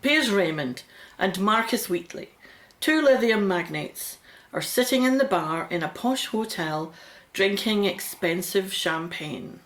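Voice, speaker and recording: Woman narrating a radio drama, mid-pitched. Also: lively intonation, unhurried.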